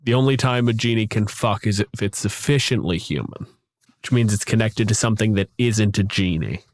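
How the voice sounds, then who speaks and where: Lowish-voiced man explaining casually, dry recording. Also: fairly quick, no room sound.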